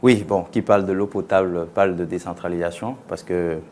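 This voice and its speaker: A man's voice: sits low, steady tone, fairly quick, darkish tone. A man explaining things as a journalist on a studio discussion panel. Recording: clear background noise.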